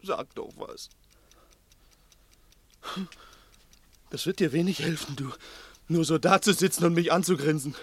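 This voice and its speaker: A man's voice: on the high side, fairly quick, husky, no room sound. A male actor playing a nervous, resentful small-time crook in an agitated, insistent way in a 1960s German radio play. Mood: bitter. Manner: agitated, insistent, repeating himself.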